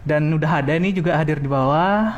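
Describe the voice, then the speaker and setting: A man's voice: a little high, brisk, lo-fi sound. A man in his twenties hosting a podcast in casual chat, talkative and laughing often. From Medan, Indonesia. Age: 20s. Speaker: podcast host.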